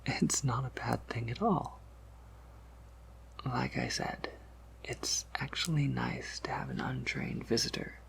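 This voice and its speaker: A man voicing a courteous, softly amused vampire apothecary shopkeeper in a whispered ASMR roleplay, with formal, gentle phrasing. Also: medium pitch, full character voice. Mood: gentle, reassuring. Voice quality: breathy whisper with gravelly creak. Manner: polite, gentle, formal, unhurried.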